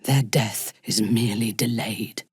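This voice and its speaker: A woman playing a haughty, ruthless dark elf warrior in a fantasy video game, speaking in a measured, imperious, formal way with a breathy, husky voice. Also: rumbling low, steady tone, no room sound, clean studio sound, faint music behind, heavily acted. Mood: commanding. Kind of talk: scripted video game character lines.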